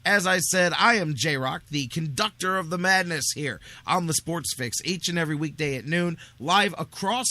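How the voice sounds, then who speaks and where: An American man hosting a sports radio show in an energetic, casual, rambling style full of slang. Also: a little high, moderate pace, hardly any pauses, no room sound. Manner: informal, rambling, peppered with slangy address. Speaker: sports talk radio host.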